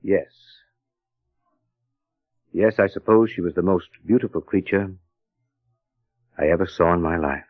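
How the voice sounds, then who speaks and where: Reticent, honest gentleman for vintage radio drama: grave, measured, lowish voice, muffled narrow-band sound. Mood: grave, reluctant. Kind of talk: 1930s radio drama acting. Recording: very muffled old radio recording with music.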